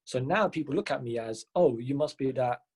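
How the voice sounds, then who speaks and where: A young man from Mumbai with a London accent, telling stories as a podcast guest, quick and animated with lots of fillers. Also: mid-range voice, slightly rough. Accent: London English. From Mumbai, India. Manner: fast, animated, with many fillers and restarts. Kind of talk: casual interview storytelling.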